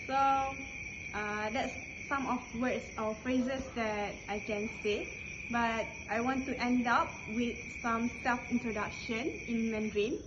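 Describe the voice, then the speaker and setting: A 22-year-old Malaysian woman answering interview questions in a hesitant, casual way, with many fillers. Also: on the high side. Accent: Malaysian English. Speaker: interviewee, student. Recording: muffled, loud night-insect noise. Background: crickets and insects chirping.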